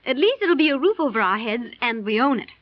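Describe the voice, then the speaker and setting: A cheerful young bride in an old radio drama. Her high voice is genteel and grateful. The vintage recording sounds dull and muffled. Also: very animated, fast talker, dry recording. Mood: cheerful, hopeful. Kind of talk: 1930s radio drama acting.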